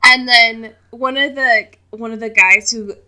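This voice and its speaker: Very bright-voiced young woman, playfully dramatic. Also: fairly high pitch, steady tone, unhurried. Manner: self-mocking, playfully dramatic. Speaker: podcast co-host. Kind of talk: casual comedic chat.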